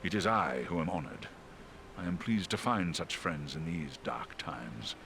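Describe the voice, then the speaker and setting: Giant noble eagle, very deep voice, sweeping intonation, grave, earnest, fantasy game. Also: male voice, expressive, a little slow, vocal fry, noisy background, exaggerated voice. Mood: noble resolve. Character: noble, brave great eagle, male, steward of the North. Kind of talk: dramatic fantasy game acting.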